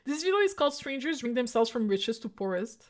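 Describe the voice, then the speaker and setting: A woman's voice: on the high side, very animated, quick pace. A woman streamer giving casual live commentary as she reacts to a video.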